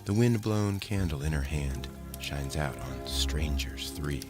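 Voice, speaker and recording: A man reciting a narrative poem in storybook style, with a measured verse delivery. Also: very deep voice, animated. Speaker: poem reader. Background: music.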